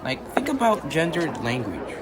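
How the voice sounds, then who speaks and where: A man's voice: a little high, wildly swooping pitch, normal speed, over a noisy crowd. A man playing an earnest, studious student in an amateur radio drama, explaining ideas conversationally and often checking in with a "right?". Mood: earnest, sympathetic. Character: earnest, academically-driven male student explaining ideas to classmate. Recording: noisy outdoor ambience.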